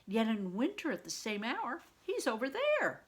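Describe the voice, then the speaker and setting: A woman voicing a kindly, patient male monk who teaches in archaic, measured phrasing for a storybook. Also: fairly high pitch, huge pitch swings, slightly rough, voice pushed up, heavily acted. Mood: thoughtful.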